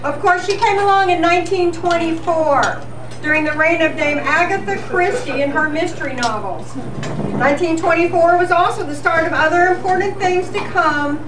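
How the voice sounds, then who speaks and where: A woman's voice: very high-pitched, moderate pace. A woman hosting a live show as a radio news reporter, reading scripted old-time radio news in a senior center hall. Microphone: picked up from far off. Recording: roomy, noisy, distant live event recording.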